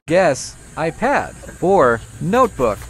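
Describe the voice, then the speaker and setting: A quiz host making short guessing-game announcements, recorded with very noisy clicking and rumble behind. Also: very animated, takes it slowly.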